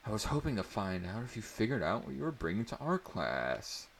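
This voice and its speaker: A man's voice: fairly low pitch, big pitch swings, fairly quick, creaky, voice pushed up, exaggerated voice. A man voicing an eager, playful teenage girl in a dramatic story reading. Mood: excited, playful.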